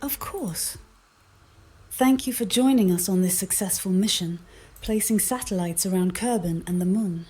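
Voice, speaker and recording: A woman's voice: mid-range voice, normal speed, dark tone. A synthetic woman's voice speaking as an AI copilot, giving composed, formal, fully formed replies.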